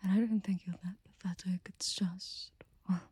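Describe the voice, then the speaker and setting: A woman's voice: lowish voice, fairly even intonation, moderate pace, husky, dry recording. A young adult woman performing an intimate scripted audio roleplay close to the microphone, commanding and teasing, often dropping to a whisper. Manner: soft and gentle near the end.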